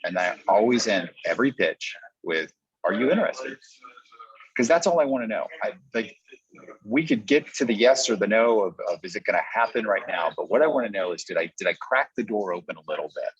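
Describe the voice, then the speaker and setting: Deep-voiced man coaching, dull audio. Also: animated, brisk. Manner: explanatory, acts out example pitches in quotes. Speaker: podcast coach. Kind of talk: conversational training talk.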